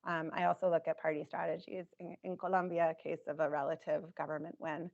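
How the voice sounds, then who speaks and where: A woman with a lowish, scratchy voice walks listeners through her research in a measured, explanatory way, pausing on frequent ums. The recording sounds dull and lo-fi. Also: level tone, gravelly, a little mellow. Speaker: academic speaker presenting research. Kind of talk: academic talk.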